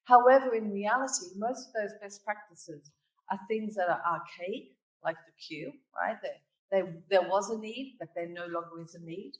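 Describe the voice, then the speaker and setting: A woman with a hybrid Australian-British accent gives a conference presentation in a middle-pitched voice. She talks in a storytelling way and keeps asking the room "right?" to check they follow. She is a little distant from the mic, and the hall sounds echoey. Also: moderate pace. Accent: Australian mixed with British. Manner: storytelling, tags points with "right?" and "okay?". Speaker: conference speaker. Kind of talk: keynote presentation.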